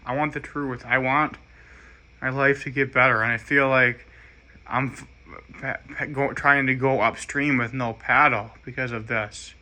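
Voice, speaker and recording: An American man giving a rambling, halting personal monologue in an earnest, aggrieved manner. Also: mid-range voice, steady tone, bright, forceful tone.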